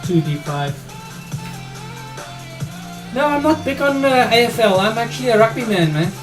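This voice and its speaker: A man's voice: very high voice, lively intonation, moderate pace, over music, poor audio. A middle-aged man with a South African accent, casually commentating his own game on a live stream, thinking aloud in an informal, matey way. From South Africa and Australia. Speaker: chess streamer and player.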